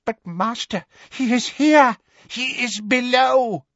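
Shrill, fanatical dark witch. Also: slow pace, no echo, muffled recording. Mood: terrified, pleading. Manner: shrill, shrieking, sobbing pleas. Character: fanatical shrieking dark witch, servile to her master.

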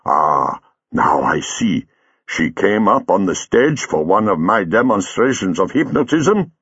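A man voicing a suave, calm male stage hypnotist who speaks in a smooth, soothing way. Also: fairly low pitch, unhurried, dry recording, muffled recording, heavily acted.

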